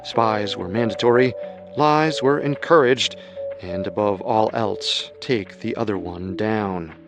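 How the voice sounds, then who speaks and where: A man's voice: fairly low pitch, slow pace, over music. A man narrating a true-crime radio drama in a grave, ominous scripted style.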